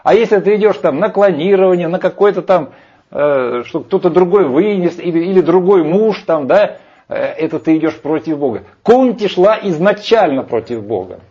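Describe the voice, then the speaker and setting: A man's voice: high-pitched, lively intonation, normal speed, dark tone, breathy edge. A man arguing emphatically in a heated discussion, rambling with hesitations. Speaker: speaker lecturing on Vedic scripture. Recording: dull lo-fi sound.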